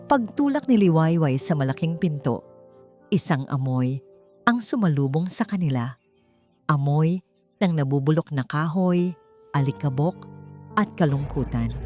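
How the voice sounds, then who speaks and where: Low-voiced woman narrating with lively pitch. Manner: expressive, suspenseful storytelling. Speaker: story narrator. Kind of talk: dramatic scripted narration of a romance suspense story.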